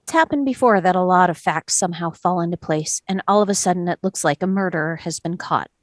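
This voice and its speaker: A woman playing a calm, doubtful male juror with a measured, reasoning manner at a dramatic table read. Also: lowish voice, no room sound, close to an everyday voice. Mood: reflective.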